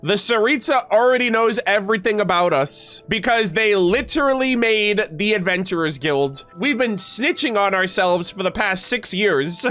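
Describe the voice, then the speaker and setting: Excitable young woman streamer, music behind. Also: medium pitch, moderate pace. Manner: excitable, slangy, full of likes. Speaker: streamer reacting to game voice lines. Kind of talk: casual fan commentary to a chat.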